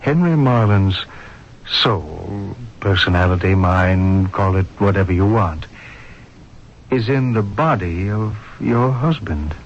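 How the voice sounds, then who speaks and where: A man's voice: sits low, expressive, takes it slowly, a little hiss or hum, full character voice. An actor playing a grave, authoritative male hospital doctor in a 1970s radio drama, speaking emphatically. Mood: grave, confiding. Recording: muffled vintage radio broadcast.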